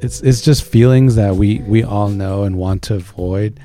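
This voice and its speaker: A man's voice: lowish voice, normal speed, mellow. A man co-hosting a music show, chatting informally and enthusiastically in slangy language as he introduces songs. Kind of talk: casual chat introducing songs.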